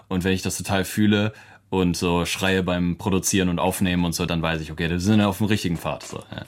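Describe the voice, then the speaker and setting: Deep-voiced young man, loose and thoughtful. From Germany. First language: English. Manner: loose and thoughtful, with many fillers and light laughs. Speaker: musician and producer. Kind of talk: relaxed interview answers.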